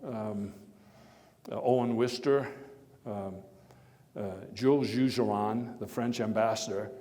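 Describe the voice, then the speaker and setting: A man's voice: fairly low pitch, steady tone, mellow. Man playing hearty Theodore Roosevelt in an echoey hall. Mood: reminiscing. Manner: halting, storytelling, wry chuckles, emphatic bursts. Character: Theodore Roosevelt, hearty, opinionated former American president. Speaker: living-history performer giving a talk and taking audience questions. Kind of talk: first-person historical dramatization before an audience. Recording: echoey hall with long reverb.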